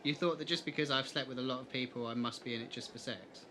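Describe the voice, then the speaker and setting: A man's voice: mid-range voice, fairly even intonation, fast talker, noisy background, close to an everyday voice. A man playing a candid, easygoing, teasing young man in a fan audio drama. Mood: frank, teasing.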